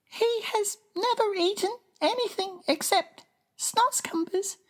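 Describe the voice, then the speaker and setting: Brief: earnest little girl voiced by a woman, very high, slow, firm. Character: sensible little girl, firm, level-headed. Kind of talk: storybook reading.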